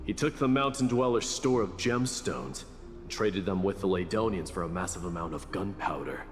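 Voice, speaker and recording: Brooding game warrior dude, lowish voice, solemn n measured, resolute, clear music behind him. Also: male voice. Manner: measured, solemn storytelling delivery. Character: brooding male warrior heir, resolute and fatalistic. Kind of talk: dramatic video game dialogue. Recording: long hall reverb, clear background music.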